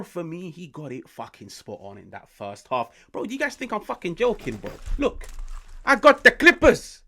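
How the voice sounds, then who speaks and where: A British man in his late twenties, hosting an excited football reaction, swearing often and swinging between loud outbursts and hushed asides. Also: high-pitched. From United Kingdom. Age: 20s. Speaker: football channel host.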